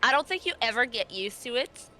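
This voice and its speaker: A woman playing an earnest nature-magic guide devoted to her fey homeland, speaking haltingly and heartfelt in a tabletop roleplay. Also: on the high side, expressive, bright, forceful tone, soft background music. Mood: awed, earnest.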